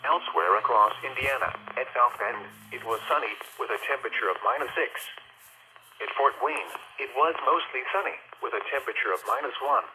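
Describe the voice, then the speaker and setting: A man's voice: medium pitch, expressive, brisk, noisy background. A man reading a scripted weather bulletin in a stiff, impersonal, segmented way, heard through a radio speaker.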